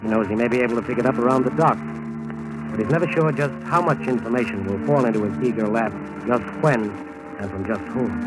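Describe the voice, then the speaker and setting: An American man giving measured, dramatic scripted narration in the style of 1940s radio. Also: mid-range voice, very noisy. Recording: old 1940s radio broadcast recording.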